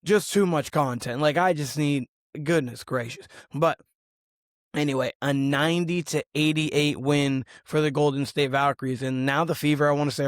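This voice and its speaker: A hoarse man hosting a solo basketball show, talking through games and stats in a casual, rambling way. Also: medium pitch, no room sound, clean studio sound. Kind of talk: solo sports analysis podcast.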